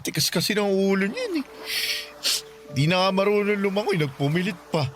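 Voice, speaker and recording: A young Filipino man torn by grief and self-blame. His voice sits a bit high, in an emotional radio-drama style, with light music underneath. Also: sing-song, moderate pace, a bit bright. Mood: guilty, regretful. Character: grieving, self-blaming young man mourning his friend.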